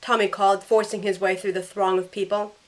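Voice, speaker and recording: A woman's voice: mid-range voice, flat delivery, a little fast, close to an everyday voice. A woman playing a caring young heroine who narrates her own ordeal in a scripted first-person audio drama. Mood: tense narration.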